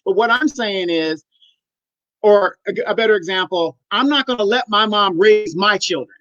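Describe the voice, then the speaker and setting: A man's voice: high voice, moderate pace, sharp tone, no room sound. A man in his fifties co-hosting a live discussion, blunt and emphatic as he argues his point. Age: 50s. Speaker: co-host. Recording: dry, muffled lo-fi audio.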